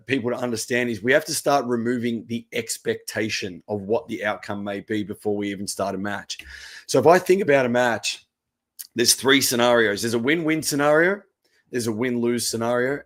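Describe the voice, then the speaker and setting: A man, a tennis coach, giving a relaxed presentation with a croaky voice. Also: mid-range voice, brisk. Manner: steady explaining, relaxed. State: hoarse.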